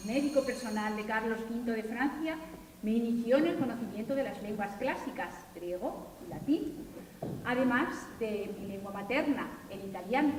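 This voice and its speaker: A woman playing a widowed medieval writer on a school stage, telling her life story in a measured, earnest way. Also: fairly high pitch, a little mellow, a little hiss or hum, close to an everyday voice. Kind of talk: amateur stage acting. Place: large school hall, stage. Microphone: far camera. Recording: echoey hall recorded from far off.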